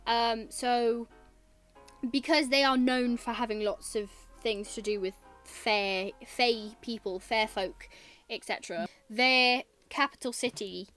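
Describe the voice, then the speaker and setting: Young Welsh woman, slightly high voice, loose rambling podcast chat over faint music. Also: slow, a bit bright. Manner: rambling, trailing off with fillers. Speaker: podcast guest, author. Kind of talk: casual chat.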